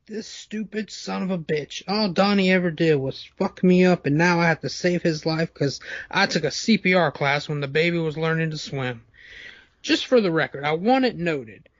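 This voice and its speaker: A man's voice: on the high side, moderate pace, no echo, lightly acted. Audio drama role: man plays foul-mouthed wealthy addict, frantic and slurring; dry, dull lo-fi sound. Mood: wry, resentful. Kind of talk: amateur audio drama reading.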